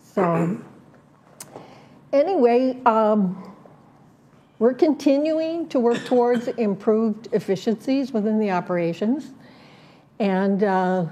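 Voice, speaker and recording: A woman serving as a community board director, laying out her plans in an interview, listing points slowly with many fillers and restarts. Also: mid-range voice, warm tone. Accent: Southern Californian. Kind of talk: prepared statement in an interview.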